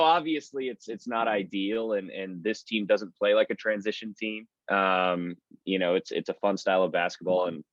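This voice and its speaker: A man's voice: low voice, fairly bright tone, no room sound, muffled recording. A man speaking as a guest sports announcer in a conversational interview, giving earnest, wordy answers. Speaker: sports radio play-by-play announcer, podcast guest.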